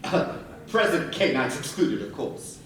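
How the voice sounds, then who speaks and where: A man's voice: fairly high pitch, sing-song, measured pace, fairly bright tone, heavily acted. An actor playing a pompous, flustered father in a theatrical stage comedy style, fussy and wordy. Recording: echoey stage recording, distant mic, faint noise.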